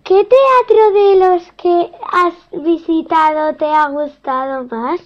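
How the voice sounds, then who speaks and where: A young interviewer reads prepared questions slowly and carefully. The voice is very high, and the pace is unhurried. The sound is dull and lo-fi. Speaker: child interviewer.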